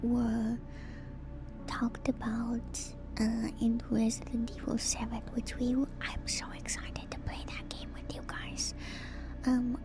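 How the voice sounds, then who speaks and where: A woman whispers softly with a mellow, dark softness, musing her way through ASMR commentary for stream viewers. She takes her time, slow and hushed, and keeps it intimate and quiet. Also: a little high. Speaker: VTuber streamer. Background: music.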